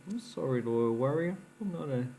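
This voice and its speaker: A man's voice: mid-range voice, animated, moderate pace, warm tone, a little hiss or hum. A man hosting a casual coin-collecting vlog, chatty and explanatory, laughing at his own slips. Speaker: coin collecting channel host. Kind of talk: casual show-and-tell vlog.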